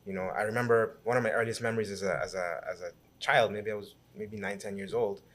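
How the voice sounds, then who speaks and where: A low-pitched man from Guyana, in his thirties, guest on a podcast. He tells his story with animation, often restarting and repeating words as he goes. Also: fairly even intonation, fast, a bit bright. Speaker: guest, businessman and city councillor. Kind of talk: conversational podcast interview.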